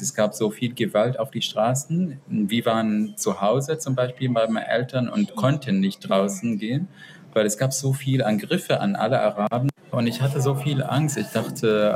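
Man speaking halting German, noisy recording. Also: fairly low pitch. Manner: halting, searching for German words. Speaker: interview guest. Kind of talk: personal conversation.

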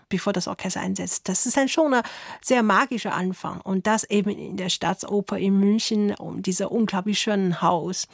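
A woman's voice: medium pitch, gravelly, dry recording, clean studio sound. Thoughtful woman violinist. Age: 30s. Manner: thoughtful, laughs softly, slight grammar slips. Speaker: violinist guest. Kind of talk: radio interview answers. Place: radio studio.

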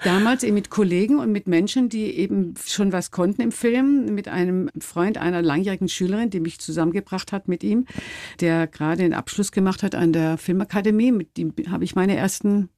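A woman's voice: medium pitch, fairly quick, dark tone, dry recording. Older woman storyteller, close dry sound. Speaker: interview guest, documentary filmmaker. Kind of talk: reflective personal storytelling in an interview.

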